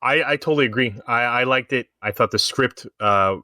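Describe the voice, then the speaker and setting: A man's voice: mid-range voice, brisk, bright, forceful tone, no room sound, studio quality. A man casually discussing pop culture as a podcast panelist, reasoning things through at length in an explanatory way.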